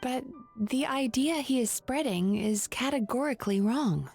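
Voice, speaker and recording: A woman playing a composed, analytical young female therapist in a video game, speaking in a measured, explanatory way. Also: sits high, lively intonation, creaky, a little mellow, faint music behind, heavily acted. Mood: concerned. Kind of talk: video game dialogue acting.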